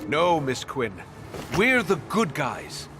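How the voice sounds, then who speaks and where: An actor playing a smug, preachy fake superhero in a video game, declaiming grandly and righteously. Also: male voice, fairly high pitch, wildly swooping pitch, slow pace, loud music behind the voice, full character voice. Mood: smugly righteous.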